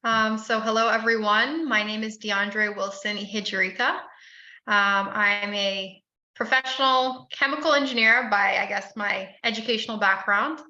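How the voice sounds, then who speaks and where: A woman's voice: mid-range voice, fairly even intonation, sharp tone, roomy sound, dull audio. A woman from the Bahamas in her thirties, telling her career story as an interview guest with frequent "um" fillers. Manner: frequent "um" fillers, friendly and measured. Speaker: podcast guest.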